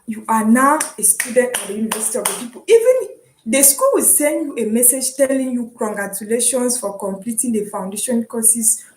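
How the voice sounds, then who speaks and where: A woman's voice: on the high side, a little hiss or hum. Chatty Cameroonian woman in an echoey room. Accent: Cameroonian English. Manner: chatty and encouraging, with frequent "um" and restarts. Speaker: vlogger and online student. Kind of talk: casual explanatory vlog.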